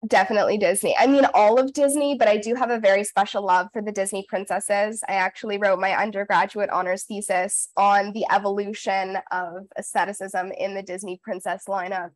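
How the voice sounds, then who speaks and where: A young woman in her twenties, a voice actress guest, chatting brightly and laughing easily on a video call from home. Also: medium pitch, fairly even intonation. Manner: bubbly, upbeat, quick to laugh. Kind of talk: casual video-call interview. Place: home room in front of blue backdrop. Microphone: webcam video call.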